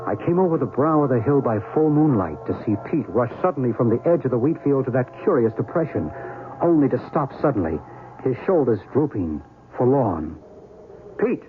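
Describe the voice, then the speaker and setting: Man playing concerned investigator: vivid, lively storytelling in a radio play, narrow sound, faint music. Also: mid-range voice, normal speed, close to an everyday voice. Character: concerned male investigator recounting events. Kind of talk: radio drama narration. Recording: narrow-band old radio recording.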